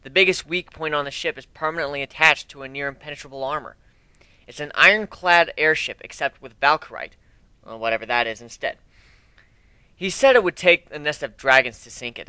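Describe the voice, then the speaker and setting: A man's voice: medium pitch, sharp tone, clean tone, no echo. A man giving an amateur dramatic reading of a first-person adventure story.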